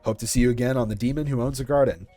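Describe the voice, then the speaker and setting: A young man talking casually as an interviewed online creator, in a measured, considered way. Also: mid-range voice, mellow, soft background music. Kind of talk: casual interview chat with YouTube-style sign-off.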